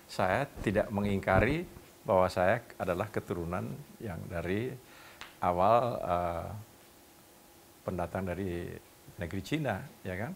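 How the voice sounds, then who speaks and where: An older man, a former newspaperman, recalling the past in an interview, speaking deliberately with pauses and acting out quoted dialogue. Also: lowish voice, vocal fry, slight background noise. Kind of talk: reminiscing interview.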